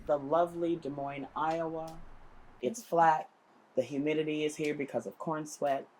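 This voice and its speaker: A woman's voice: low voice, steady tone, moderate pace, darkish tone, slight background noise, rough recording. A woman in her fifties from Chicago, an entertainer, answering interview questions playfully. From Chicago, USA. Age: 50s. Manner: playful, joking. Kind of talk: documentary interview.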